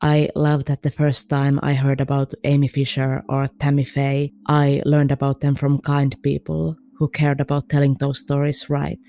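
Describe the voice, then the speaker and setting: A woman with a Finnish accent reading a prepared listener message in an earnest, measured way. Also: extremely low pitch, completely flat delivery, warm tone. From Finland.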